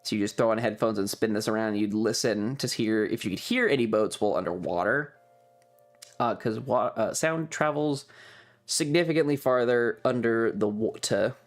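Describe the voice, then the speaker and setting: A man's voice: on the low side, moderate pace, soft background music. Casual, rambling man chatting on a livestream. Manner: rambling, informal, sweary, offhand. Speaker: streamer and host. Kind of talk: casual livestream chat, describing travel photos.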